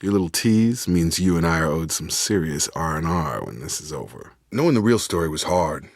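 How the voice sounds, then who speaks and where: A man playing a steady, loyal space soldier in a video game, plain-spoken and guarded, with clipped military delivery. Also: low voice, normal speed, gravelly, dry recording, clean studio sound, exaggerated voice. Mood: earnest, reserved. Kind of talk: video game character dialogue.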